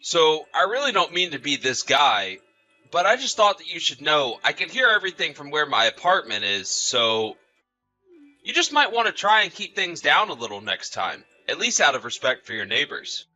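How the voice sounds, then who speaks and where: A man's voice: on the high side, big pitch swings, moderate pace, pushed and bright, soft background music, full character voice, rough recording. A man playing an awkward neighbor with a complaint in a scripted audio story, hesitant and tactful but insistent. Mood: awkward, reproachful. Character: awkward, tired male neighbor making a complaint.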